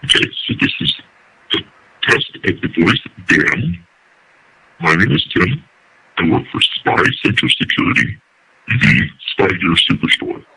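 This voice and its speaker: A man's voice: low-pitched, very animated, measured pace, gravelly, long pauses, bright, forceful tone, breathy edge, voice pushed down, exaggerated voice, poor audio. A man speaking through an electronic voice changer set to an altered male preset, reading a plain test. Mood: neutral test.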